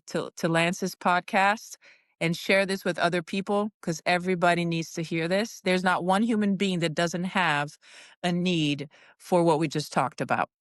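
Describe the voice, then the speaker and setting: A woman's voice: fairly low pitch, fairly bright tone, clear, resonant tone, no echo, clean studio sound. A middle-aged woman whose first language is Portuguese, a guest wellness coach talking casually and encouragingly on a podcast, with frequent ums. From Brazil.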